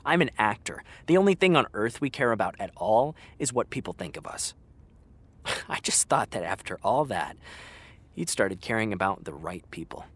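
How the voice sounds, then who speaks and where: A man's voice: on the low side, sing-song, moderate pace, a bit bright, husky, exaggerated voice. An actor playing a frank, exasperated young man talking to his best friend in a naturalistic, conversational audio drama style. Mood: teasing, hesitant.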